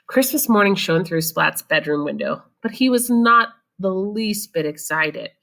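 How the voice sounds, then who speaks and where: A woman's voice: medium pitch, animated, unhurried, gravelly, fairly bright tone. A woman storyteller reading a children's picture book expressively and explaining it to young listeners. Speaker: read-aloud storyteller.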